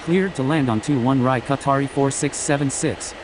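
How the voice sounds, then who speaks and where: Man making noisy aviation radio calls. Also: moderate pace. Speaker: airline pilot on air traffic radio. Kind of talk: scripted radio phraseology. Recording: loud noisy rumble and hiss.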